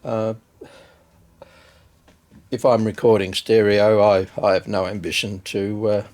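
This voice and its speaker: A man giving a casual, unscripted hands-on demonstration as a hobbyist video host, hesitant and halting as he thinks aloud. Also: on the low side, little pitch movement, darkish tone.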